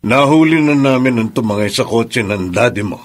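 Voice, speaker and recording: Husky, emphatic male lawyer, played by an actor. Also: medium pitch, animated, normal speed, close to an everyday voice. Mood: reassuring. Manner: emphatic, dramatic. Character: protective, indignant male lawyer avenging a client. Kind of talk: Filipino radio drama acting.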